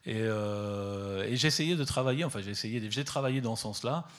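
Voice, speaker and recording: A man's voice: fairly low pitch, brisk. A middle-aged man from southern Italy, talking about his photography with frequent fillers and repeated words. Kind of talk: hesitant conversational talk at an exhibition.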